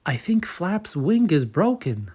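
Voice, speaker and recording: A man voicing a gentle, consoling father in a children's storybook, speaking softly and tenderly. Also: fairly high pitch, very animated, slow pace, husky, voice pushed up, exaggerated voice. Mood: soft regret. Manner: soft, tender, reassuring.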